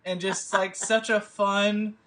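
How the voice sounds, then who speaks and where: A man's voice: high-pitched, little pitch movement, slow pace, a bit bright. A man leading a table read, chatting casually from home over a video call, rambling with lots of fillers and restarts. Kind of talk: casual chat.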